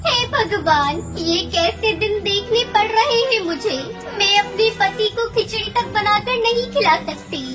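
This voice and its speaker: Devoted little wife bird played by a woman, extremely high voice, plaintive, cartoon fable with music. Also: lively intonation, a little slow, few pauses. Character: devoted, worried young wife bird, female. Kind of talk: cartoon moral story acting.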